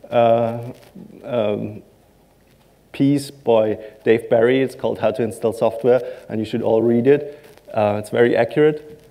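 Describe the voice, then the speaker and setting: A man in his thirties whose first language is Serbo-Croatian, giving a conference talk from a large stage in a halting way, full of ums and self-deprecating asides. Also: mid-range voice, warm tone. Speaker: conference speaker.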